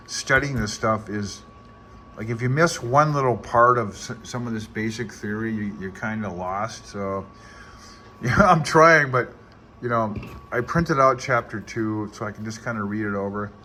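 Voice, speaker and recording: A man talking casually and unscripted as he thinks aloud, rambling and halting, with a self-deprecating manner. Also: fairly low pitch, bright, forceful tone, slight background noise. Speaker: amateur radio hobbyist making study progress videos.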